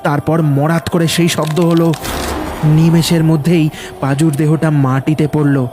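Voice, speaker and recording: High-voiced man narrating horror. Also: flat delivery, a little slow. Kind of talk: scripted dramatic horror story narration. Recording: loud music behind the voice.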